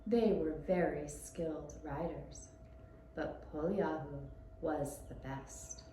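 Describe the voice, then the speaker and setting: Woman storyteller for small children. Soft mellow tone, unhurried delivery, very roomy recording. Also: deep voice. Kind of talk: scripted storytelling for young children. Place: echoey room, puppet show performance. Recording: roomy echoing sound with faint hiss.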